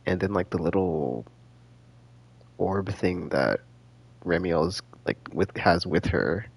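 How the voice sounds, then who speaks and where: A man's voice: sits low, animated, slow, gravelly, husky. A man giving casual live game stream commentary, thinking aloud in a rambling, hesitant, slangy way.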